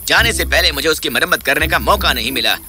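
Humble honest labourer, male actor: slightly high, lively cartoon delivery over music. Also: natural voice. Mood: puzzled. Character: humble, honest working man, polite and earnest. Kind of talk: animated children's fairy tale dubbing.

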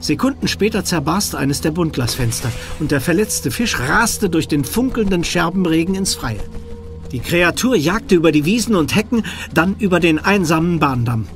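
An actor playing the solemn narrator of a children's mystery audio drama. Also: male voice, fairly high pitch, lively intonation, normal speed, faint music behind. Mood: suspenseful.

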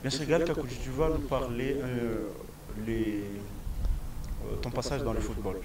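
A young Congolese-accented man hosting a sports interview, halting and repetitive in his phrasing, on a handheld mic outdoors. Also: mid-range voice, fairly even intonation, far from the mic, crowd noise. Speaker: sports show host. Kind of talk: broadcast interview. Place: open-air football pitch. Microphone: handheld microphone.

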